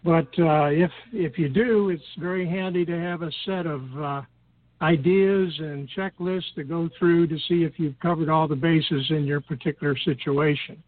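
An older American man speaking in a measured, halting way with frequent throat clearing, explaining things as an interview guest. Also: on the high side, fairly even intonation. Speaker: podcast guest, experienced recreational boater. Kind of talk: unscripted explanatory interview talk. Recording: tinny phone line with clear noise.

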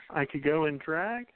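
Man acting jealous protective male colleague, 1920s radio play, earnest, very lively intonation, slow delivery, tinny sound. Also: a little high, smooth.

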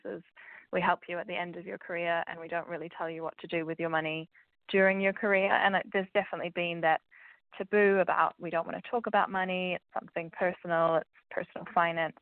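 A woman with a New Zealand accent answers interview questions in a clear, explanatory way. Her voice sits lower than usual for a woman. The sound is dry and thin, like a phone call. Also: dry recording. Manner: clear and explanatory, with fillers like "kind of". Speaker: guest expert.

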